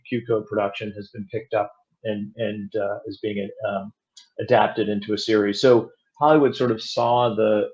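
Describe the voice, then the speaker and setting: A man talking casually as an interview guest, explaining things thoughtfully and haltingly, with frequent restarts. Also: on the low side, darkish tone. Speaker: audio drama producer and director, interview guest. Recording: dull, lo-fi audio.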